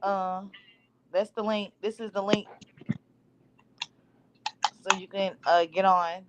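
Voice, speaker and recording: A woman hosting an online call-in chat, casual and quick to agree with her guests. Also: medium pitch, fairly even intonation, background noise. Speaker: host of a call-in talk show.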